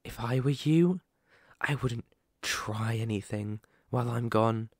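A man voicing a sadistic, possessive werewolf captor in an ASMR roleplay, speaking in a deliberate, condescending, threatening way. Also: mid-range voice, slightly rough, no echo, clean studio sound. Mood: controlling, cold.

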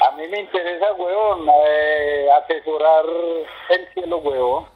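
Higher-voiced Colombian man on noisy call. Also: unhurried, creaky. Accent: Colombian, Medellín paisa. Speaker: prank call target. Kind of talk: casual slangy phone conversation. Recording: noisy phone call with ambience.

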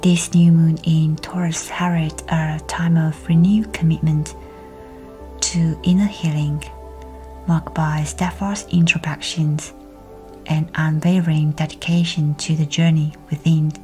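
Female meditation guide: low, hushed and breathy, very even delivery, faint music underneath. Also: measured pace.

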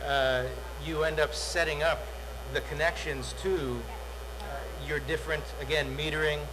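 A middle-aged man giving a software demo on a conference stage, talking in a salesy way with many fillers and repeated words. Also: on the high side, flat delivery, measured pace, noisy background. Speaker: product demo presenter. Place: large dark conference hall, on stage.

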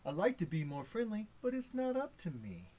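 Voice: medium pitch, wildly swooping pitch, moderate pace, mellow, pitched above the performer's own voice. A woman voicing a gloomy, resigned male fish in a children's storybook, lamenting in rhyme. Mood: glum, resigned. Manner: glum, lamenting, rhyming. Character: gloomy, dreary pout-faced male fish, resigned to mope.

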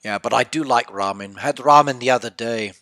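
Chatty man streamer, bright dry voice. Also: medium pitch, brisk, dry recording. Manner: chatty, playful, reads chat aloud and teases viewers. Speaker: game streamer. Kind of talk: casual live-stream chat. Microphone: headset with desk mic.

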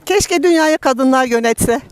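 A woman with a high voice presents casually to camera. She is outdoors in a rural garden, giving a spontaneous commentary on what is around her. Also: a little slow.

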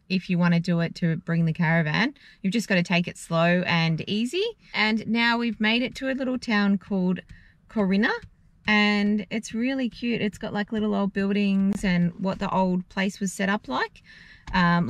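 A woman's voice: mid-range voice, normal speed, dry recording, lo-fi sound. A woman in her forties with an Australian accent, chatting enthusiastically while narrating a family road trip vlog from the car. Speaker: travel vlogger. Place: inside a car and outdoors by a lake.

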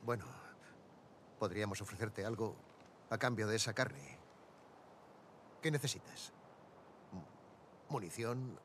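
A man playing a calm, middle-aged leader of survivors in a video game, measured and placating, with a Castilian Spanish accent. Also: lowish voice, slight background noise. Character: calm, conciliatory male leader of hungry survivors.

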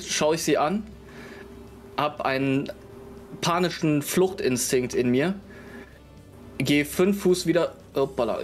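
A man playing a tabletop role-playing game, describing his character's moves in a hesitant, halting way. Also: on the high side. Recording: faint music behind the voice. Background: faint music.

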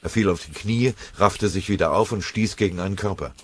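Male audiobook narrator, low voice, dramatic scripted war narration, light battle noise underneath. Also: a little fast.

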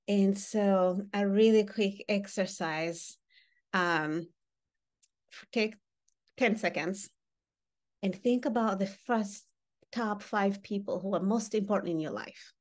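A woman in her thirties, who grew up in Uganda, leading an online workshop on a video call and talking directly to the participants. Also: mid-range voice. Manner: animated, gestures while speaking, engages participants directly. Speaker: workshop facilitator. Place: home room with wall baskets. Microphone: webcam on a video call.

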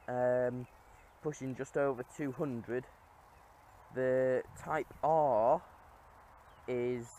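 A man's voice: medium pitch, a little mellow, slight background noise. A man casually talking through his car on camera, rambling with lots of ums. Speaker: car vlogger. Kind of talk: casual walkaround commentary. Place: outdoors on a quiet rural lane. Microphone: head-mounted action camera.

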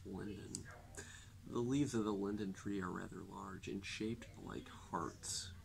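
Young male reader, low gravelly voice, deadpan delivery of a children's nature book. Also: measured pace. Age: young adult. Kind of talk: reading a children's non-fiction book aloud. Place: indoors, public library, at a table.

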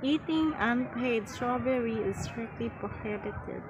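A young adult woman vlogger reading a scripted travel voice-over in an even, informative tone. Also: fairly high pitch, a little slow, background noise.

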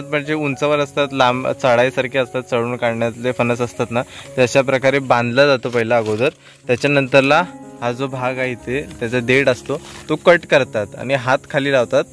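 A man's voice: mid-range voice, a bit bright. A young man talks viewers through his work in an outdoor orchard, filming on a handheld phone. He explains each step in an easy, chatty way, with faint music under his voice. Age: young adult. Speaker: vlogger. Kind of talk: casual outdoor vlog narration.

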